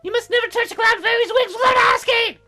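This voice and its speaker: A man voicing a bossy, bratty young fairy girl in a squeaky falsetto for a visual novel game. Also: quick pace, sharp tone, faint music behind, heavily acted. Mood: indignant, bossy. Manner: petulant, demanding.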